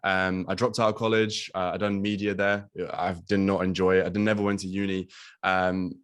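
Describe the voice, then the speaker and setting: Low-voiced young man, casual coaching talk. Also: little pitch movement, a little fast, fairly bright tone, clean tone. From Latvia. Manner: casual, swears, lots of ums and likes. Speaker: guest business coach. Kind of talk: casual video call interview. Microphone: video call.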